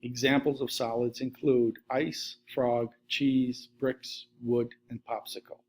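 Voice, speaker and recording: A man's voice: medium pitch, takes it slowly. Middle-aged male teacher. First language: Latvian. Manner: steady list-reading delivery. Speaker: science teacher. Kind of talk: recorded slide lesson.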